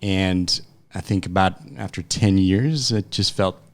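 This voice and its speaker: A man in his late thirties from France with a very deep voice full of vocal fry. He is a podcast guest, talking conversationally in a halting way with many hesitations. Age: late 30s. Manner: halting, with frequent hesitations and restarts. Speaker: interview guest, startup founder. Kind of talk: conversational interview answers. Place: podcast studio.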